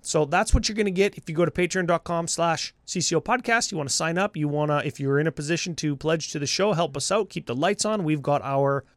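A man's voice: on the high side, fast talker, hardly any pauses, no echo. Upbeat male podcast co-host, scratchy voice. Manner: laughs often, upbeat, trails off. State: scratchy voice from a cold. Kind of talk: casual chat.

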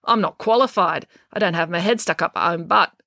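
A woman's voice: lowish voice, brisk, vocal fry, pushed and bright, no room sound, clean studio sound. A woman voicing a grumpy, snappy old woman with a curt, bossy and blunt way of talking. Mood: brusque, blunt. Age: older.

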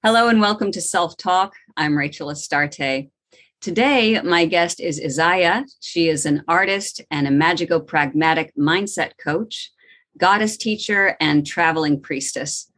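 Lower-voiced woman hosting an interview. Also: a little slow, a bit bright. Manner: friendly, encouraging with short backchannels. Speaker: podcast host.

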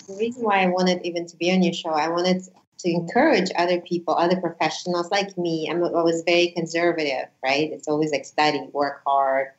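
A woman in her forties, a Russian-speaking immigrant from Uzbekistan, sharing her story as a guest in an earnest, animated way. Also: sits low, echoey room, muffled recording. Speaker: guest, former attorney. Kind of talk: casual interview chat.

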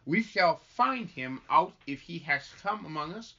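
A man acting a grave, zealous minister who hunts the devil, solemn and deliberate in an amateur play reading. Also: fairly high pitch, animated, creaky, pushed and bright, muffled recording, heavily acted. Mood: grave, commanding. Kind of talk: amateur stage-play read-through.

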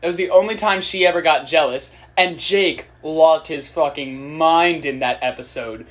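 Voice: medium pitch, normal speed, a bit bright. Opinionated, swearing young chatter. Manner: opinionated, swears freely, dramatic retelling. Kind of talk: casual chat.

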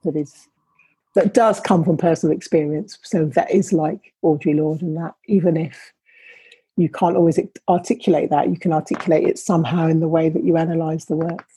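A middle-aged woman talks in a reflective, measured way, with a husky, breathy edge to her voice. Her tone is dark and mellow, and she sits low in her range. The sound is dry and close, with no room around it. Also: fairly even intonation, no room sound, low-quality recording. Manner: thoughtful, measured, frequent restarts. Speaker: academic guest. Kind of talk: reflective interview conversation.

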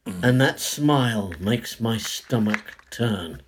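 An actor playing an anxious man who narrates his own ordeal in a slow, descriptive literary audio story, with a husky voice. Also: lowish voice, expressive, background noise. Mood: dread. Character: anxious male former game show host, narrating his own ordeal.

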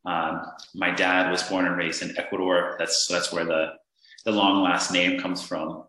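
A man from Northern Virginia talks as a podcast guest. His voice is very low yet bright and forward. He is earnest and halting, full of fillers and false starts, and his voice rings in a strongly echoing room. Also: level tone. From Northern Virginia, USA. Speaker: podcast guest, real estate investor. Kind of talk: casual interview conversation. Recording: echoey room.

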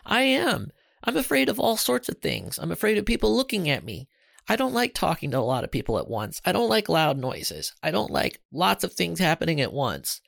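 A man's voice: mid-range voice, sing-song, normal speed, vocal fry, no room sound, clean studio sound, voice pushed up. A man voicing a shy, anxious young schoolboy who talks in a fretful, pleading way.

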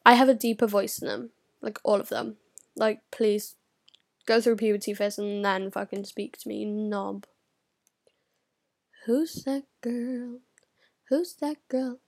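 A teenage girl chatting straight to camera in a rambling, scattered way. Her voice sits a little high, and she swears freely and often drops into a whisper mid-thought. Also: fairly bright tone. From United Kingdom. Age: teens. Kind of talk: casual vlog chat.

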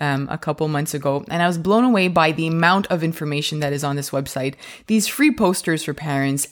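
A woman hosting a parenting science podcast, reading the intro and sponsor thanks, then opening the interview with enthusiastic questions. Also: fairly low pitch. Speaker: podcast host.

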